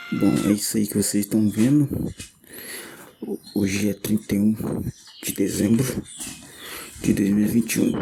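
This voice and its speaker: A man's voice: on the low side, creaky, rough recording. A young man with a Brazilian accent, chatting in a slangy, laid-back, joking way in a home vlog. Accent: Brazilian Portuguese. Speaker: vlogger. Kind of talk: casual home vlog chat.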